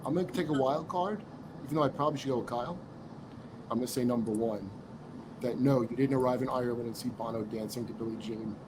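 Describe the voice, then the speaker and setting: A man's voice: mid-range voice, fast talker, background noise. A man bantering casually as a player in a party game.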